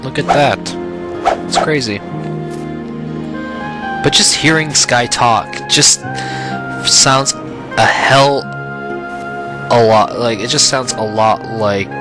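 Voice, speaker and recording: A man's voice: medium pitch, takes it slowly, long pauses. A man giving casual, reacting commentary as the host of a gaming video. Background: game music.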